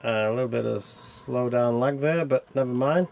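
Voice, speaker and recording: A man talks through a video game in a casual, chatty way. His mid-range voice has a noticeable creak to it. He thinks aloud as he goes, often putting questions to himself. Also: medium pitch, normal speed, darkish tone. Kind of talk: casual gameplay commentary.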